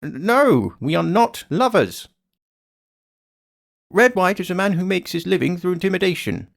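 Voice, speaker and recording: Creaky, high-voiced old attorney. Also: male voice, expressive, normal speed. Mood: grave, resigned. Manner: blustering, old-fashioned, stammering when flustered. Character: blustery, troubled old male attorney, grandfatherly. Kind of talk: dramatic video game character acting.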